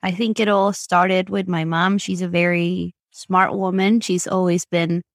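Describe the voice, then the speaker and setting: A woman's voice: on the low side, little pitch movement, a little slow, dry recording, clean studio sound. A woman with an Argentine Spanish accent, chatting as a podcast guest in a rambling, filler-filled way. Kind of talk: casual storytelling interview.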